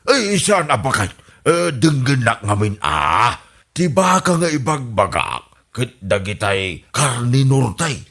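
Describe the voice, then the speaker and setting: A sly older man in an audio comedy, voiced by a man. He speaks slowly and with a lively, rising and falling melody. His voice is bright, and he keeps chuckling through his boasts. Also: mid-range voice, exaggerated voice. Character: scheming old husband running for village captain. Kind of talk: comedy radio drama acting.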